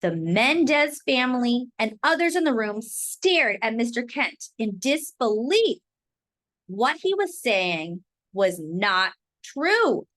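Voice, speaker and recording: Lady reading a kids book out loud, highish voice, really animated up and down narration. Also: takes it slowly. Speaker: children's book read-aloud host. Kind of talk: expressive storybook narration.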